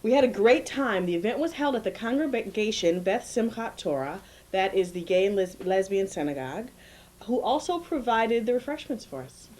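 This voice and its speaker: A woman co-hosting a community cable show, chatty and quick to laugh. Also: medium pitch, animated, a little mellow, rough recording. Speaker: co-host. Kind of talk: casual studio chat. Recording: old analog tape.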